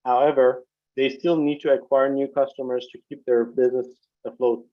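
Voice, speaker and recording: A man reading prepared financial remarks as a company executive, formal and measured, on a video conference call. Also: mid-range voice, little pitch movement. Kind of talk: scripted corporate earnings presentation. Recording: dull, lo-fi conference call audio.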